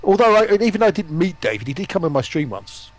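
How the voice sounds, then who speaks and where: A man's voice: high-pitched, very animated, fast talker. A man, a streamer guesting on a casual chat among gamers.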